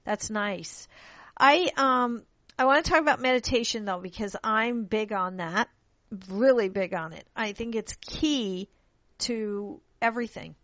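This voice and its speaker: A woman's voice: a little high, a little slow, a bit bright, no room sound. A woman who grew up in London, hosting a radio interview in an enthusiastic, chatty way and often restarting her sentences. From born in Pakistan, grew up in London. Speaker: radio show host. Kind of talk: casual interview chat. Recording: dull lo-fi radio audio.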